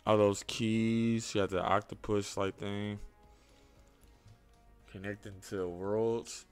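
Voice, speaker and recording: A man's voice: fairly low pitch, flat delivery, slow, clear, resonant tone. Young man doing casual reaction commentary over music. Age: young adult. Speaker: reaction video host.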